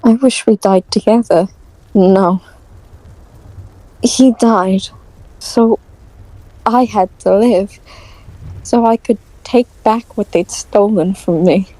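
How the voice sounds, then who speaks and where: A woman playing a bitter, grief-stricken young nobleman with a halting, intense delivery in an audio drama. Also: mid-range voice, mellow, no echo, rough recording. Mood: grieving, bitter.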